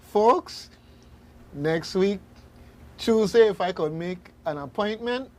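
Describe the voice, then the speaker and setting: A man hosting a radio talk show, speaking casually and haltingly, often repeating words as he goes. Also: high-pitched, animated. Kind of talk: casual on-air discussion.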